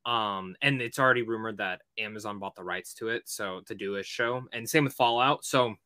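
Bright, forceful man in casual, sweary chat. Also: lowish voice, brisk, no echo, clean studio sound. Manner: informal, sweary, peppered with "like". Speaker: podcast host.

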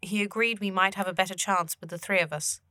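A woman's voice: mid-range voice, quick pace, no echo, studio quality, lightly acted. A woman playing a solemn, patient otherworldly guide who speaks stiffly and formally in a fantasy audio drama. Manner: stiffly formal, avoids contractions, deadpan.

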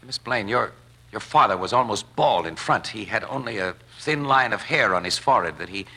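Sharp, brash radio sleuth. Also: male voice, fairly low pitch, expressive, brisk, slightly rough, exaggerated voice, rough recording. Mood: probing. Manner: brash, colloquial. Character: wisecracking, shrewd crime photographer turned amateur sleuth. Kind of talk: 1940s radio drama acting.

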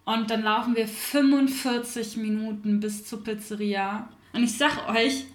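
A woman's voice: a little high, steady tone, a little slow, echoey room, natural voice. A woman voicing an outspoken young mother recounting her birth, in a theater radio play monologue. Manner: forceful, outspoken.

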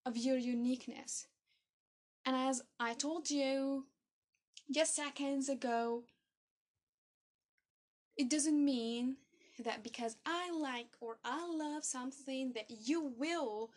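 A young woman whose first language is Arabic, giving an earnest motivational talk in a halting way, often repeating and restarting phrases. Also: sits high, darkish tone. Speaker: motivational speaker. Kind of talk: motivational monologue to camera.

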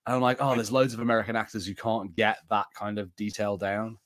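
A man's voice: lowish voice, level tone, fairly quick, fairly bright tone, dry recording. A man with an English accent co-hosting a podcast, talking casually in a film and TV review discussion. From England. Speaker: podcast co-host.

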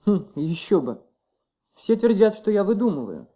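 High-voiced stubborn young choirboy. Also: male voice, big pitch swings, moderate pace, dark tone. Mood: defensive, sulky. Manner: defensive, naive, terse. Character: stubborn, wary young choirboy, a small schoolboy. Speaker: radio drama performer playing a child. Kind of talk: Soviet radio play acting. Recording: dull old Soviet radio recording.

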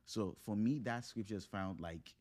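A man's voice: on the low side, normal speed, darkish tone. A man in his thirties speaking as a podcast guest in a casual, motivational conversation, earnestly building his points in short phrases.

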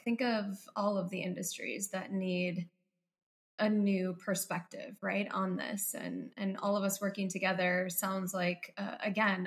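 Woman host asking long layered questions. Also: mid-range voice. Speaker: podcast host. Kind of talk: interview conversation.

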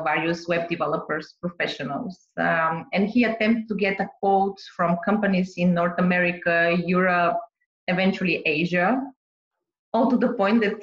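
A woman's voice: on the low side, echoey room, dull audio. A woman from Bulgaria, a business owner, answering podcast interview questions carefully, with light laughs.

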